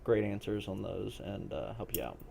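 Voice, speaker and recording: A man with a lowish, creaky voice and a relaxed, mellow tone. He talks as a game master, explaining events to players in a measured, deliberate manner. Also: a little hiss or hum. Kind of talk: explaining a role-play game session.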